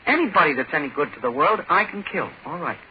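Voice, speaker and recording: An actor playing a menacing, power-drunk ordinary little man in 1940s radio horror, speaking haltingly and insistently. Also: a little high, lively intonation, close to an everyday voice. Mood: smug, threatening. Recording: muffled, noisy old 1940s radio recording.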